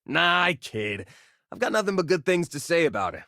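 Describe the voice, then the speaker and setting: A man's voice: on the high side, sing-song, fairly quick, sharp tone, no room sound, studio quality, heavily acted. A male actor playing an easygoing, joking miner and spaceship crewmate in a video game, talking in a casual, folksy way. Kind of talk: video game companion voice lines.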